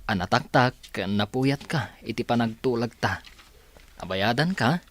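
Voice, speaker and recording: A man's voice: medium pitch, animated, measured pace. A man acting as a young company boss in an Ilocano radio drama, with an Ilocano accent. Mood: apologetic, hesitant. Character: Daniel, young male company boss, boss's son. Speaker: radio drama actor and announcer. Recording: loud music behind the voice. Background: music.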